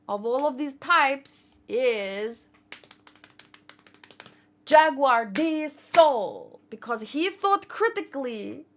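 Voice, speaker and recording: High-voiced woman in casual rambling commentary. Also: sharp tone. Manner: informal, rambling, self-questioning. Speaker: host of a manga read-along channel. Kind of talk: casual livestream commentary, thinking aloud.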